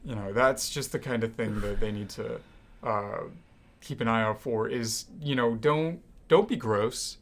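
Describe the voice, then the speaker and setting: A man's voice: medium pitch, measured pace. A man chatting casually about movies as a podcast co-host. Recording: noisy amateur room recording.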